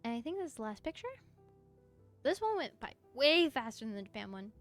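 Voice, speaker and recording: Woman, mezzo, slightly high pitch, casual livestream chat, expressive, encouraging, effusive. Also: brisk, soft background music. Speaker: VTuber live streamer. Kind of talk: casual livestream chat while gaming, answering viewer comments.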